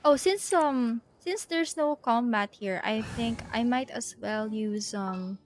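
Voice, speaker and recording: A woman's voice: a little high, slow, slight background noise. A woman streamer thinking aloud in a casual, giggly way as she plays a video game live.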